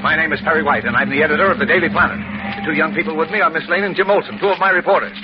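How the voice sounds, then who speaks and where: An actor playing an indignant older newspaper editor who gives orders, in 1940s radio acting style. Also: male voice, fairly high pitch, rapid-fire, close to an everyday voice. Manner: indignant, commanding. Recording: dull old radio recording, noisy.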